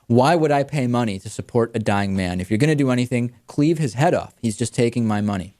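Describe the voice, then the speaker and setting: A man's voice: medium pitch, animated, fairly quick, a little mellow. An American man hosting a talk show, giving conversational commentary and reading out audience mail.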